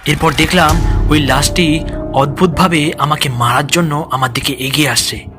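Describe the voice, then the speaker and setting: A man with a fairly high voice narrates a scripted horror tale as a first-person storyteller. His delivery is dramatic, and music plays clearly behind him. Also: steady tone, normal speed.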